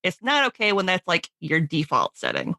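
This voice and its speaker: A woman's voice: fairly low pitch, wildly swooping pitch, moderate pace, sharp tone, dry recording, clean studio sound. A woman hosting a podcast in a casual, supportive, affirming way with a guest. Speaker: podcast host. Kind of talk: casual chat.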